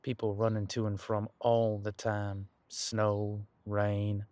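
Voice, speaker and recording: An easygoing ancient demon detective played by a man talks in a low voice, close and dry. He muses in a folksy, laid-back way, as if chatting with a companion in an audio roleplay. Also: completely flat delivery, slow pace, darkish tone, no room sound. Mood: relaxed, musing. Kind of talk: conversational one-sided audio roleplay.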